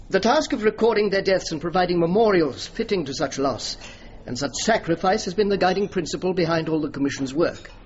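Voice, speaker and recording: A man's voice: on the high side, close to an everyday voice. Man acting earnest older-ish general, formal, dignified, radio drama, bit muffled w faint noise. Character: dignified middle-aged major general, earnest, courteous, haunted. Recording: dull, faintly noisy radio recording.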